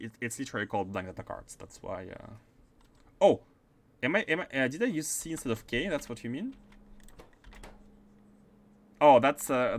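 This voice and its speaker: A man with a mixed Russian and French accent giving casual, filler-heavy commentary while he plays a game. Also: medium pitch. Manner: chatty, many fillers, bursts of excitement. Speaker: game streamer.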